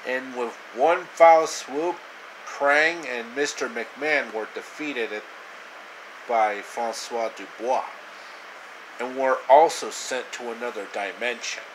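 A man in his thirties narrating a homemade story with halting delivery, recorded at arm's length in a small room. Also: mid-range voice, slight background noise, low-quality recording. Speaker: storyteller, video creator. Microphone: phone or webcam at arm's length.

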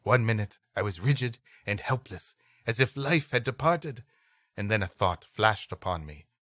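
A man with a bright, forceful voice and very animated intonation, reading like a theatrical storyteller and bantering as a podcast co-host. The sound is dry and close, with no room around it. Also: lowish voice, moderate pace, no echo. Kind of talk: dramatic reading of an old story with comic banter.

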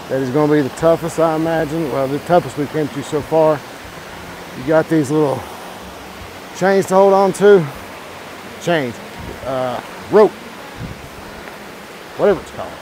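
A man's voice: a little high, darkish tone, low-quality recording. A man, hosting a hiking vlog in a casual, easygoing way on a wooded trail, filming himself with a phone at arm's length. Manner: folksy, easygoing, talks while walking. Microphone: phone camera at arm's length. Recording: outdoor noise, stream and wind behind.

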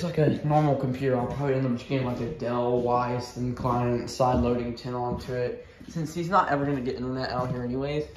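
A young man giving an offhand, rambling house tour in casual vlog style, talking into a handheld phone. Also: medium pitch. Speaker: vlogger. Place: walking through a house. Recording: noisy handheld phone recording with clicks.

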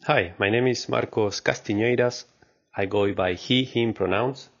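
Deep, gravelly man in conversation. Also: a little slow, lo-fi sound. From Argentina. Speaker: podcast guest, marketing manager. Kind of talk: conversational storytelling.

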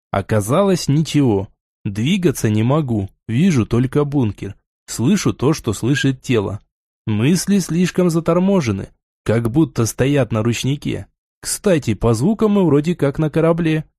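A man's voice: on the high side, dark tone, no room sound, clean studio sound. A man narrating a first-person novel as a scripted audiobook.

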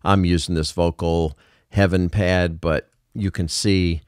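Male tutorial host, deep voice, dry close-mic sound, informal step-by-step explainer. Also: level tone, measured pace, clean tone, dry recording. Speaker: music production tutorial host. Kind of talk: casual software tutorial narration.

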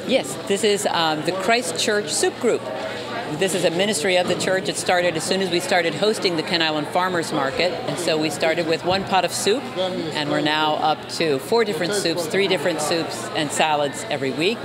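A woman's voice: lowish voice, moderate pace, a loud crowd. A middle-aged woman, a volunteer, explaining things in an interview. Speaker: church volunteer. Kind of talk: interview answer. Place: busy indoor market hall. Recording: loud crowded hall behind.

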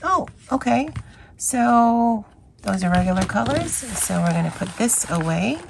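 A hoarse woman with a scratchy voice hosting a chatty, rambling coloring vlog. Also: fairly high pitch, lively intonation, measured pace. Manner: chatty, rambling, with fillers and self-corrections. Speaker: craft channel host. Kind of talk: casual coloring vlog chat.

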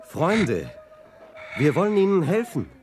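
A young adventure hero in a radio drama. His voice is very animated in pitch, earnest and decisive, with a faint rumble of noise behind it. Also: takes it slowly, heavily acted. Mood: concerned. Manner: urgent, explanatory. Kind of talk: radio play acting.

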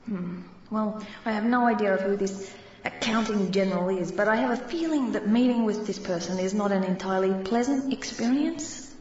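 A woman's voice: medium pitch, moderate pace, natural voice. A woman playing a cautious, dry and firm spirit in charge of her partner in an audio drama. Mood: dry, wary. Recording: distant, muffled, roomy, under loud music.

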